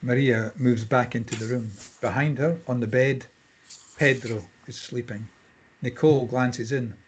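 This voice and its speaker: A man's voice: mid-range voice, fairly even intonation, unhurried, breathy edge, rough recording. A man reading out screenplay stage directions as scripted narration at a table read.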